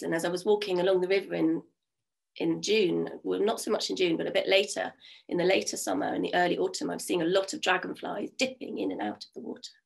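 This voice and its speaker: A woman in her fifties with a croaky voice from a cold, telling folk stories in a chatty way on a video call from home. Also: lowish voice. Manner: warm, chatty, with many fillers. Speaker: storyteller. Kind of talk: oral storytelling. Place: home room. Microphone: video call webcam.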